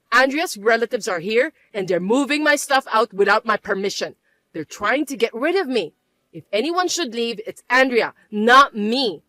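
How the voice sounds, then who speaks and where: A woman's voice: fairly high pitch, a bit bright, no room sound, poor audio. A woman voicing a bossy, scornful older mother-in-law who scolds and accuses in an indignant, whiny way. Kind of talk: dramatized story voice acting.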